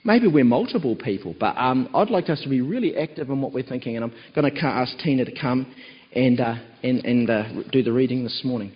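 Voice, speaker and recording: A man with a croaky voice, filling in as preacher with a chatty church sermon full of ums and tag questions. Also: medium pitch, lively intonation, brisk. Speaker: lay preacher standing in for the pastor. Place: church hall. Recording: dull recording with long church hall reverb.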